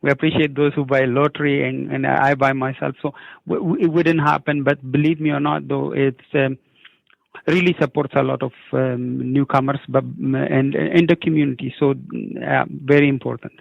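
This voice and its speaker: A middle-aged man with an Ethiopian accent and a creaky, gravelly edge gives unscripted interview answers. He speaks haltingly, doubling words and stumbling on their first sounds. The sound is dry and narrow, like a lo-fi radio feed. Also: medium pitch, little pitch movement, no room sound. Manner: halting, repeats words and stammers on word starts. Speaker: newcomer program coordinator, interview guest.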